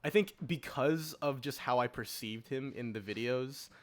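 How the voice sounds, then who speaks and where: A man's voice: medium pitch, normal speed. A man co-hosting a podcast, talking informally in a casual panel discussion and explaining his views. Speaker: podcast co-host.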